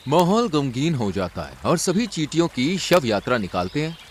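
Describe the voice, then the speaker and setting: Animated male cartoon storyteller. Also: on the high side, moderate pace, a little hiss or hum. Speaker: narrator. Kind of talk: scripted story narration for a cartoon.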